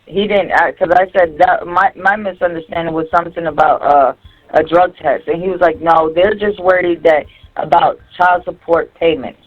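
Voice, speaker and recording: A woman's voice: on the low side, fairly even intonation, moderate pace, muffled recording. A woman in a casual phone conversation, explaining and instructing step by step over a recorded jail line. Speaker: caller on a jail phone call. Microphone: telephone.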